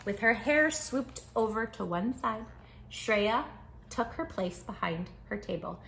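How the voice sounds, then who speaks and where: High-voiced woman reading a children's story. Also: measured pace, creaky, slight background noise, low-quality recording. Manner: animated, dramatic emphasis, addresses young listeners directly. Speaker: children's book read-aloud host. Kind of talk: expressive storytime narration for young children. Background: music.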